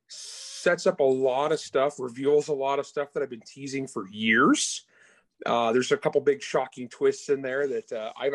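Male author as interview guest, mid-pitched, informal and enthusiastic, very little room sound. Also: no room sound. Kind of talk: casual interview chat about their own books.

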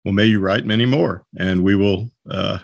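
A man hosting a casual podcast interview, speaking in a halting way as he searches for words. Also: lowish voice, creaky, no echo. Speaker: podcast host, publisher.

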